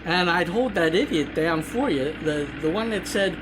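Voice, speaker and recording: An actress playing a feisty, skeptical 1930s campaigner and loyal sidekick in a radio drama, plain-spoken and animated. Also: female voice, on the low side, normal speed, natural voice. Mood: frustrated. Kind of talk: period radio drama acting. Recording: clear noise behind dialogue.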